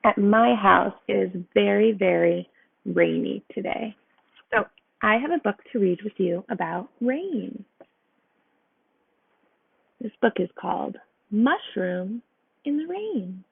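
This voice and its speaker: A woman's voice: medium pitch, lively intonation, slow pace, creaky, pauses often, slightly rough, no room sound. A woman reading a picture book aloud to children, speaking simply and putting questions to her young listeners. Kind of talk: read-aloud storybook narration. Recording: phone-like narrow sound.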